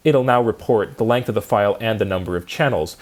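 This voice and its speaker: A man's voice: lowish voice, fast, a little mellow. A man lecturing in a methodical, explanatory way, as if walking students through a programming lesson step by step.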